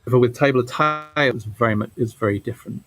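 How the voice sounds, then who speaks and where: A man giving casual interview answers as a game developer, halting and often repeating his words. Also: medium pitch, music in the background.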